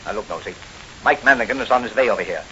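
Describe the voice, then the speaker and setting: A man's voice: fairly low pitch, expressive, racing, pushed and bright. An actor playing a shifty, blustering gangster bar owner with slangy tough-guy talk in 1940s radio style. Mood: scheming, bossy. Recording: muffled, hissy old radio recording.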